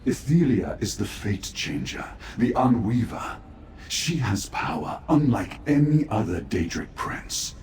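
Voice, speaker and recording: A doomed, devoted retainer in a fantasy game, slow and formal with a lowish pitch. He sounds grave and pressing, in an echoey space with faint noise behind him. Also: big pitch swings, a little mellow. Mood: grave, resigned. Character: despairing, loyal male servant of a fallen prince. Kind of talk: scripted fantasy video game dialogue.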